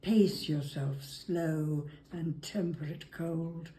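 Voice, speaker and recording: Woman with a soft, dark tone, slightly low, reciting verse unhurriedly over faint noise. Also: very slow. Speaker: family member reading poetry. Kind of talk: amateur poetry reading. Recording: amateur recording with faint background noise.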